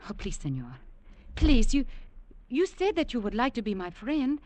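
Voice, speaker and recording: An actress playing a desperate young Peruvian servant woman, formal and halting, in a 1940s radio drama. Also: fairly high pitch, wildly swooping pitch, a little mellow. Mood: pleading, desperate.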